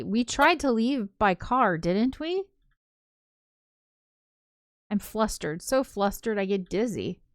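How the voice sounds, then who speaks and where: A woman's voice: mid-range voice, no echo, natural voice. A woman reading aloud as an anxious, introspective young ghost woman, hesitant and reflective, in visual novel narration.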